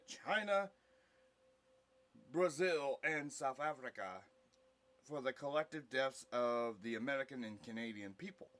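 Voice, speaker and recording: A man reading scripted narration for an audio play in a halting, word-by-word way. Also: on the high side, fairly bright tone.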